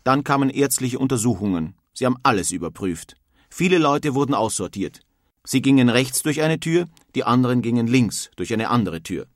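A sober male immigrant, played by a man, recounts the inspection in an even, middle-pitched voice. The sound is dry and close. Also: normal speed, dry recording, natural voice. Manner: plain, sober first-person recollection.

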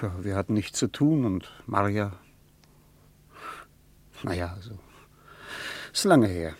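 A wistful German traveller. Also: male voice, lowish voice, animated, slow pace. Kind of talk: 1950s radio play acting.

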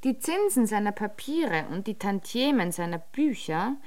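Actress as a dryly witty detective-agency secretary. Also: female voice, medium pitch, moderate pace, lightly acted. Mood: matter-of-fact. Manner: dry, deadpan wit. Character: coolly witty detective-agency secretary writing crime novels. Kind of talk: radio drama acting.

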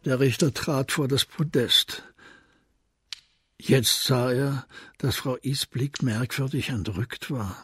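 A man's voice: mid-range voice, slow, fairly bright tone, slightly rough, no room sound. A man reading scripted narration for a German crime radio play. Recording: faint music behind voice.

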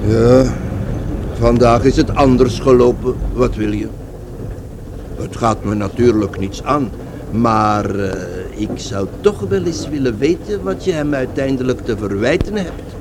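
An actor playing a well-meaning, bookish fellow passenger in a radio drama, conciliatory and gently moralising. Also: male voice, expressive, unhurried, close to an everyday voice. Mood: conciliatory, concerned. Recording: vehicle noise under the voice. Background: rumble of a vehicle.

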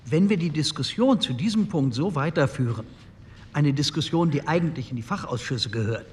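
A man's voice: a little high, lively intonation, normal speed, slight background noise, close to an everyday voice. A man playing a sober, businesslike parliamentarian who speaks formally and matter-of-factly. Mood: sober, objecting. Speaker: actor in a documentary radio play. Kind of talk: re-enacted parliamentary speech. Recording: echoey hall.

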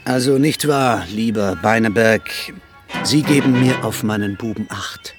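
A man's voice: lowish voice, measured pace, over music, close to an everyday voice. A man playing a courteous, formal middle-aged court councillor and father in a German radio drama, speaking with polite urging. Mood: courteous, earnest.

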